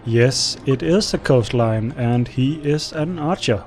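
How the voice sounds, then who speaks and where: A man's voice: mid-range voice, takes it slowly, darkish tone, soft background music. Danish man thinking aloud over gameplay. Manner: thinking aloud, rambling, talks to his units. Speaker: gameplay commentator. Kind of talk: casual let's-play commentary.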